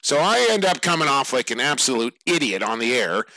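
Hoarse man talking lacrosse. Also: mid-range voice, sing-song, brisk, bright, forceful tone, no echo, low-quality recording. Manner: rambling, conversational, lots of fillers. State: slightly raspy, hoarse voice. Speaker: lacrosse podcast host. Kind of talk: casual sports talk.

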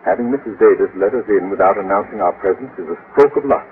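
An actor playing a pompous, excitable, blustering middle-aged father with orotund delivery in a 1950s radio sitcom. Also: on the low side, quick pace, natural voice. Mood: sly, conspiratorial. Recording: noisy muffled old radio recording.

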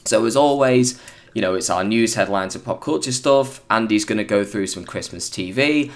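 A hoarse British man hosting a podcast, chatting casually and rambling with plenty of fillers and restarts. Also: on the low side, moderate pace. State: hoarse, recovering from illness. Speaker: podcast host.

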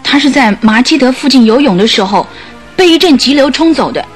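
A woman's voice: high-pitched, animated, a little fast, faint music behind. An actress playing a sorrowful, guilt-ridden woman making an earnest confession in a radio drama. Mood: anxious, confiding. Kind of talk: Mandarin radio drama acting.

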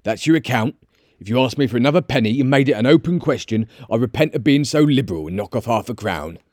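A man's voice: medium pitch, lively intonation, fast, darkish tone, no echo, studio quality, lightly acted. A man voicing a sly, jovial shopkeeper of nearly seventy in a storybook, chatty and wry. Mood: wry haggling.

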